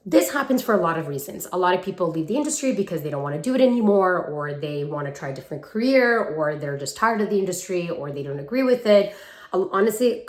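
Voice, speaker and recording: A woman in her twenties giving casual talking-head advice to viewers in a chatty, emphatic, affectionate way, recorded on a handheld phone. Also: lowish voice. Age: 20s. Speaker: vlogger and acting coach. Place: room at parents' home, brick wall. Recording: roomy echo from a hard-walled room.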